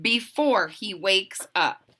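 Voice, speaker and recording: A woman voicing a bossy cat mother who gives loud, scolding orders. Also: mid-range voice, animated, slow, heavily acted.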